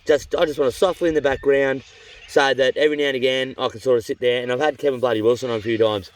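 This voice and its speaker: A man's voice: medium pitch, fairly even intonation, fast. A middle-aged Australian man on a long canoe trip, outdoors by a river, talking on in a tired, chatty, rambling way with slang and a slightly runny nose. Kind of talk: rambling casual vlog chat. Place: outdoors by a river among rocky cliffs. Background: birdsong in the bush.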